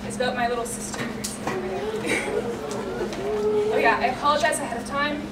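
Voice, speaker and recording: A woman's voice: high-pitched, expressive, normal speed. A young woman in her late teens earnestly reading her own heartfelt poem aloud at a school poetry reading. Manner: earnest and heartfelt, emotional, reads in long run-on lines. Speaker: student poet. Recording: echoey room, distant mic, loud ambient noise.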